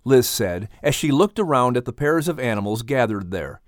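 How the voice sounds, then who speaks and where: A man narrating and announcing in an animated, scripted storytelling style. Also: mid-range voice, moderate pace, no room sound, clean studio sound.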